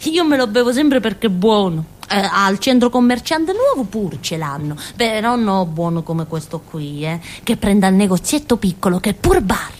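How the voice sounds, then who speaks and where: An actress playing a naive, chatty village fool in a theatrical monologue, with a southern Italian accent and a rambling, giggly way of talking. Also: female voice, mid-range voice, sing-song, normal speed, few pauses, close to an everyday voice. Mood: simple, candid. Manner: rambling, giggly, with frequent interjections and tag questions.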